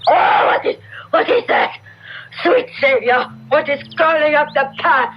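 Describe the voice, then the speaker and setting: Shrill, very high-pitched frightened countrywoman. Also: measured pace, a little hiss or hum. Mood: horrified. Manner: shrill, exclamatory, melodramatic. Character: frightened, excitable Italian countrywoman crying out in horror. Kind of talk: 1970s radio drama acting. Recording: dull vintage radio recording, faint music.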